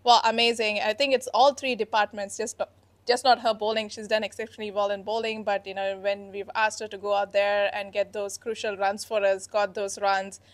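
A young woman team captain answering questions at a post-match press conference in an indoor press room, speaking earnestly and in a measured way into a handheld microphone. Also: mid-range voice, little pitch movement.

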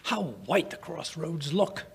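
An older man playing an earnest, kindly, easily flustered English doctor with a rough, breathy voice in a radio play. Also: on the high side, measured pace, heavily acted. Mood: observant. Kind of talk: old-time radio drama acting. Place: small indoor stage, live performance. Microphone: vintage-style stand microphone.

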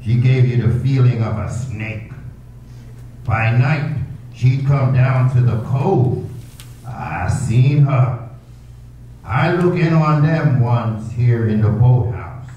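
A man's voice: mid-range voice, lively intonation, takes it slowly, dark tone, a little hiss or hum. A man doing a frightened rustic old beachcomber. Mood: eerie. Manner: rustic ungrammatical speech, frightened pleading. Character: simple-minded old male beachcomber, fearful. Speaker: amateur radio drama actor. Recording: muffled, echoey and distant.

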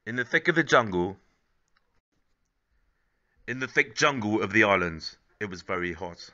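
A man in his thirties narrating a children's story expressively, with measured, gently dramatic phrasing. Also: lowish voice, animated, lo-fi sound. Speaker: storybook reader.

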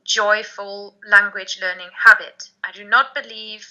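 Bright-voiced woman on a headset. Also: mid-range voice, measured pace. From Germany. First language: German. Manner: lively, emphatic, laughs often. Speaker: guest, language blogger and podcaster. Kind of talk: casual video call chat. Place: home room, indoors. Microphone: headset on video call. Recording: dull lo-fi video call audio.

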